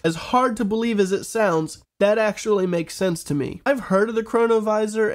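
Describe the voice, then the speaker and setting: A man in his thirties, nasal and congested with a head cold, giving casual commentary to camera as a host. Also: high-pitched. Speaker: host of a reaction video show. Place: small room at home, indoors.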